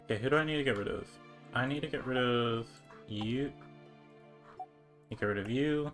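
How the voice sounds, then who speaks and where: A man's voice: medium pitch, normal speed, a bit bright. A man streaming a game and chatting casually, thinking aloud in a laid-back manner. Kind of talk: casual livestream chat. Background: game music.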